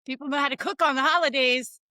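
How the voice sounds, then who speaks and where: A woman's voice: high-pitched, fast, pushed and bright, dry recording, clean studio sound. A woman in her thirties from Connecticut, a podcast guest telling her life story in a blunt, frank, casual way.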